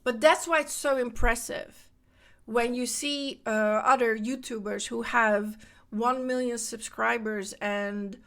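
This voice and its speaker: Lady podcasting about spirituality, higher voice, lively and repeats herself a lot. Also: slow. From Netherlands. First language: Dutch. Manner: animated, repeats phrases for emphasis. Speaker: podcast host. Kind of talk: casual spiritual monologue.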